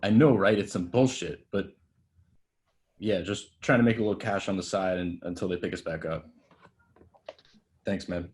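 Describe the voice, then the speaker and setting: A brash young male actor down on his luck, read by a man at a table read talks in a casual, sardonic way. His voice is fairly low. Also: moderate pace, long pauses, darkish tone, natural voice.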